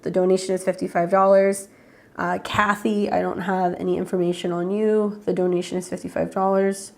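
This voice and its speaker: A woman's voice: fairly low pitch, little pitch movement, fairly quick, gravelly. A woman with a head cold and a congested voice, chatting casually as an astrologer hosting live readings and greeting viewers by name. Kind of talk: casual livestream chat.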